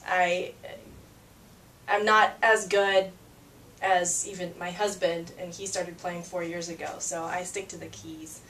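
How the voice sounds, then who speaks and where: A woman's voice: lowish voice, flat delivery, unhurried, a bit bright, far from the mic. A young woman from Peru talks easily as an interview guest, trailing into fillers and restarts and breaking into laughs. She sits a little away from the mic in an echoing room, with a faint hum of ambience behind her. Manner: chatty, laughs often, many fillers and restarts. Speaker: singer-songwriter guest. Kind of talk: casual interview chat.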